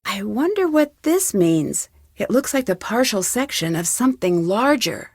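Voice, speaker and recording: A woman voicing a curious, determined young female detective in an adventure game, talking to herself in clear, purposeful scripted lines. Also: mid-range voice, sing-song, a little slow, no echo, clean studio sound. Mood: focused, curious. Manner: clear self-talk, inquisitive and purposeful. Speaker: game protagonist.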